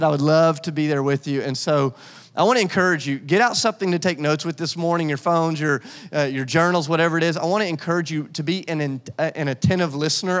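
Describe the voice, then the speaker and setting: A man's voice: a little high, clean tone. A man, the church's pastor, speaking conversationally from the stage and introducing a guest. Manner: conversational, warm pastoral delivery with restarts. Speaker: lead pastor. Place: church sanctuary. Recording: long church hall reverb.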